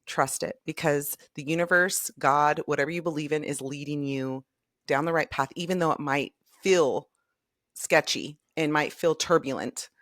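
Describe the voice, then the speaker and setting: A woman's voice: low voice, moderate pace, a bit bright, no room sound, clean studio sound. A woman hosting a podcast, effusive and encouraging as she welcomes and praises her guest. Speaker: podcast host. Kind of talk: podcast interview.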